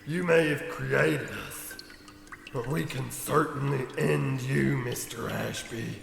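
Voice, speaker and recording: Gravelly sinister cartoon mascot. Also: male voice, mid-range voice, fairly even intonation, music in the background. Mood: menacing. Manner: slow, drawn-out, mock-menacing. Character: menacing cartoon mascot, goofy but sinister. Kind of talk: comedic radio play acting. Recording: echoey room with long reverb.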